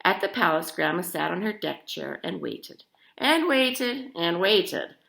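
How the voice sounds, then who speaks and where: Female storybook reader, very lively intonation, bright tone, a creaky edge, talking to children. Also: fairly low pitch, measured pace. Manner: animated, addresses child listeners with questions. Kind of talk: expressive read-aloud of a children's picture book.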